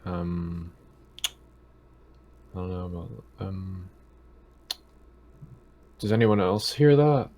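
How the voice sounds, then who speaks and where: A man playing a tabletop game, chatting casually in a halting, hesitant way that often trails off. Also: deep voice, expressive, dark tone.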